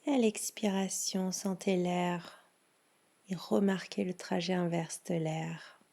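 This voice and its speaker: Woman, meditation guide, lowish pitch, soft mellow tone, creaky edges, soothing, long pauses. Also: fairly even intonation. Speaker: yoga nidra guide.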